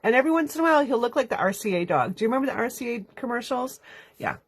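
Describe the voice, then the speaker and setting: A woman's voice: a little high, big pitch swings, rapid-fire, slightly rough. A congested woman with a nasal sound, chatting casually and rambling while teaching a card-making class live from home. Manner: chatty, rambling, laughs often. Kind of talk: casual livestream chat.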